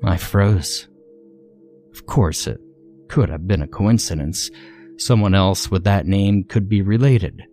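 A man with a low-pitched, dark and mellow voice narrates a creepypasta tale in the first person. His delivery is measured and deliberate, with a storyteller's sense of drama. Music plays softly behind him.

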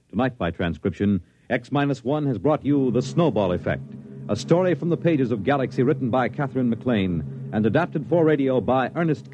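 Animated American vintage radio announcer, male. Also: mid-range voice. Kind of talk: 1950s radio scripted announcing. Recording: dull vintage radio broadcast, loud music.

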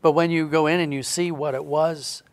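A man's voice: on the high side, steady tone, moderate pace, dry recording. A man talking conversationally in an interview as a storyteller, explaining things in a reflective manner. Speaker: guest storyteller, audio drama writer.

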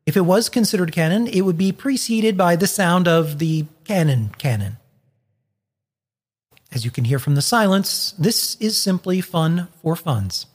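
Husky host in a long reverb. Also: mid-range voice, animated, a little slow. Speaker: host introducing a charity radio play. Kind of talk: semi-scripted introduction with dry jokes.

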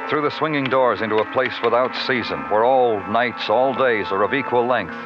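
An actor playing a weary, brooding police detective who narrates his city beat in moody, deliberate, hard-boiled 1950s radio style. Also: mid-range voice, close to an everyday voice. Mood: brooding, poetic. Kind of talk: 1950s radio noir acting, poetic narration. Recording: muffled old radio broadcast, loud music.

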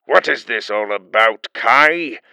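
A man voicing an old, heavy-set, irritable male chancellor who blusters loudly. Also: medium pitch, animated, slow, gravelly, clear, resonant tone, no room sound, studio quality, full character voice. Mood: blustering.

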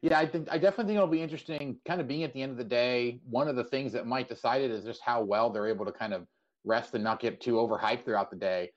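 A man of medium pitch talks quickly and casually as the host of a sports podcast. The recording is a little dull and lo-fi. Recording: slightly dull, lo-fi audio.

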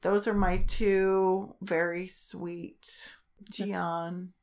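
A woman's voice: lowish voice, flat delivery, very slow, darkish tone. A woman co-hosting a podcast in casual, conversational talk with her fellow host.

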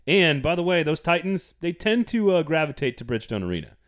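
A man's voice: a little high, very animated, brisk. A man hosting a casual sports podcast, playful and teasing, reading an ad with gusto. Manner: playful, teasing, reads ads with enthusiasm. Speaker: podcast host.

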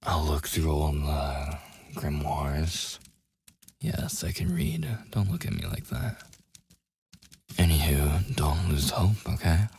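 A man playing a gruff, protective werewolf with a secretly tender side in a boyfriend ASMR roleplay, his husky, gravelly voice often dropping toward a whisper as he gives grudging commands. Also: extremely low pitch, sing-song, darkish tone, heavily acted. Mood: grudgingly reassuring. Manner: slow, grudging, possessive, commanding. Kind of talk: boyfriend ASMR roleplay, intimate scripted acting.

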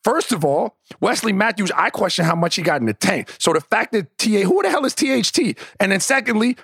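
A man's voice: high voice, big pitch swings, fairly quick, gravelly, fairly bright tone, breathy edge, no echo, clean studio sound. A man in a combative, dismissive sports argument, pushing back on the other guest. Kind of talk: heated sports rant.

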